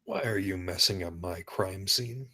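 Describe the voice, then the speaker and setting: A man's voice: deep voice, normal speed, bright, forceful tone. A man playing a curt, impatient small-town detective in a screenplay table read. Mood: irritated.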